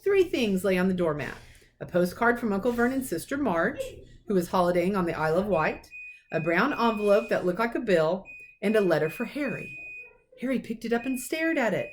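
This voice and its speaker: A woman's voice: medium pitch, animated, a little fast. Woman reading a story, noisy recording. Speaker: teacher reading a storybook aloud. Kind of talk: expressive storybook narration. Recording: clearly noisy recording with clicks.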